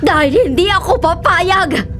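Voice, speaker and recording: A middle-aged mother in a radio melodrama, anguished and fiercely protective of her children. Her voice is very high and full of emotion, with music clearly behind it. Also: expressive, unhurried, a bit bright, exaggerated voice. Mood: desperate pleading. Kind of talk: radio drama acting.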